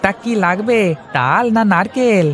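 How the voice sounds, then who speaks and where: A man plays a village fruit seller in a cartoon tale. He is chatty and ingratiating. His voice is high for a man and rises and falls in a lively way. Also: a little slow, a faint crowd. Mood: welcoming, persuasive. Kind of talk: cartoon fairy-tale dialogue.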